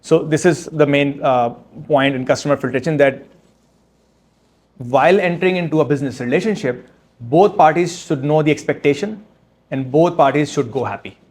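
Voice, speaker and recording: A man speaking as an author at a book launch, answering audience questions in a halting, step-by-step way with frequent restarts. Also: on the high side, darkish tone. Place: event room with audience.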